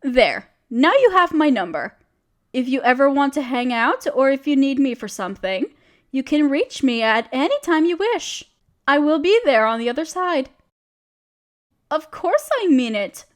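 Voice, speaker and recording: A woman's voice: high voice, sing-song, moderate pace. A woman playing a friendly, genteel witch who chats kindly in quaint, dainty phrases, in an audio roleplay. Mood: fond. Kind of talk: scripted audio roleplay speaking to the listener.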